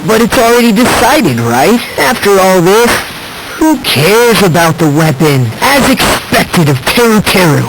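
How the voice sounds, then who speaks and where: An unsettling, hope-obsessed teenage boy from a video game. His speech is courteous and needling, sliding up and down in pitch with theatrical flair. The clip has clear background noise. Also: very animated, unhurried, exaggerated voice. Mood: wistful, disappointed. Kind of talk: English video game voice acting.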